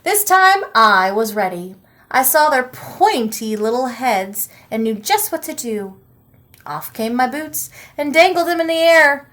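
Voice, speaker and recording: A woman reading a picture book aloud expressively, with deliberate dramatic emphasis and pauses. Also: sits high, sing-song, a bit bright.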